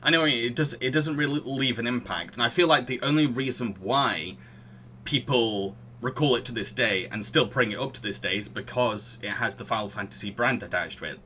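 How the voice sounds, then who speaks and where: Bright-voiced man chatting on a podcast. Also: mid-range voice, a little fast, a little hiss or hum. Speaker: podcast host. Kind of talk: casual film review chat.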